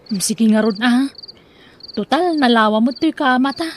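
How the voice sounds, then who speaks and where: A woman's voice: fairly high pitch, moderate pace, slight background noise, natural voice. A woman playing a sympathetic young household helper in an audio drama, speaking with concern and a Filipino accent.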